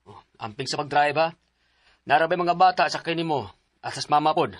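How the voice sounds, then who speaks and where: A man's voice: on the high side, brisk, a bit bright, lightly acted. A man playing a protective, concerned husband and father in a radio drama. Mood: brisk, caring.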